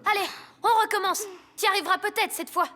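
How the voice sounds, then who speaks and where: A woman voicing an eager young boy superhero in a cartoon dub, with an energetic, rallying, childlike delivery. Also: very high voice, quick pace. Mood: determined. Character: eager young boy superhero, cat-themed, upbeat leader. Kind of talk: animated cartoon dubbing. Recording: loud music behind.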